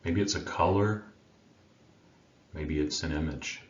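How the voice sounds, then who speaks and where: A man with a remarkably deep voice leads a guided meditation. He speaks slowly and soothingly, leaving long pauses between gentle phrases. The sound is roomy and a little muffled. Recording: roomy, dull sound with faint background noise.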